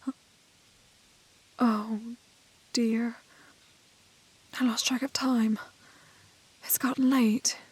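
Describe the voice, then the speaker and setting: A woman playing a gentle, curious young village maiden in a romantic fantasy audio roleplay, with a soft, breathy voice and halting, old-fashioned polite phrasing. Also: on the high side, flat delivery, full character voice, poor audio. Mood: timid, polite.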